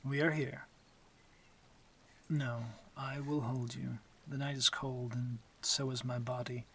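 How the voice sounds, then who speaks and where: A man playing a courteous, protective, commanding vampire lord in an intimate ASMR audio drama, speaking softly in measured, formal phrases that often turn whispery. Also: mid-range voice, little pitch movement, slightly rough, exaggerated voice. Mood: gentle, formal.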